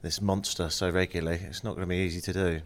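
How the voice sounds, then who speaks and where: Deep-voiced man with a stuffy cold. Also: level tone, fast, slight background noise. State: congested from a cold. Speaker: podcast host. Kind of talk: casual interview.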